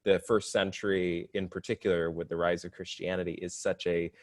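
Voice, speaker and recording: A man's voice: sits low, flat delivery, a little fast, dry recording, clean studio sound. A young man in his twenties as a podcast guest, explaining his studies in an articulate way with some self-deprecating humor. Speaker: podcast guest, divinity student. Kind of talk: casual interview chat.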